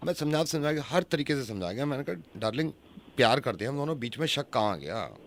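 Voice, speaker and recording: A man's voice: medium pitch, very animated, fast, a little hiss or hum. A man playing a harried husband on a prank phone call, apologetic and repeating himself as he pleads. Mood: pleading.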